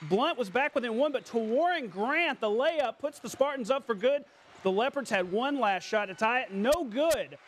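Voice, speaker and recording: An American man anchoring a TV sportscast, calling highlights in a playful, colloquial, punchy way. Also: animated, moderate pace, fairly bright tone, slight background noise. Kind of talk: scripted sportscast with highlight commentary.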